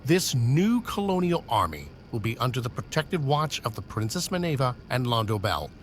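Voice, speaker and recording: A man's voice: medium pitch, big pitch swings, moderate pace, close to an everyday voice. A stern senior officer barking clipped, commanding orders at his subordinate , as a man plays him. His voice sits in a middle register, with a faint hiss of noise under it in this sci-fi audio drama.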